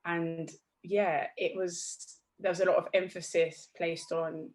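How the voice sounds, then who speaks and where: A young woman from Zimbabwe with a somewhat low voice chats as a guest over a video call. She pauses often with ums and soft laughs as she thinks. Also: little pitch movement. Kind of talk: casual interview answers.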